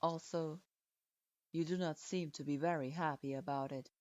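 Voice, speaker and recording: A woman voicing an imposing male clan head who speaks calmly, in a measured and patronizing way, recorded with a dull lo-fi sound. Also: low-pitched, no echo, voice pushed down, natural voice. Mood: appraising.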